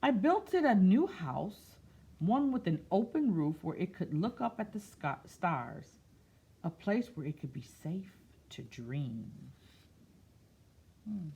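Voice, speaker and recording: A woman reading a picture book aloud expressively, slipping into quieter, musing, conversational asides. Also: fairly low pitch, very animated, takes it slowly, breathy edge, lo-fi sound. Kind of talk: expressive read-aloud with soft, chatty asides.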